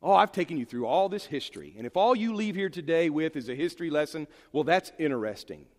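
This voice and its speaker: High-voiced male pastor, lively emphatic preaching, long church echo. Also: fast. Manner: animated, emphatic preaching with sudden drops to quiet. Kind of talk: sermon. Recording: long hall reverb.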